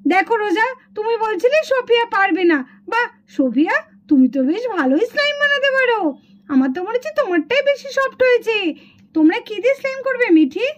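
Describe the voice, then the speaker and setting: A woman's voice: very high-pitched, big pitch swings, quick pace. A woman voicing an encouraging schoolteacher doll who praises and instructs in a children's puppet show. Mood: approving.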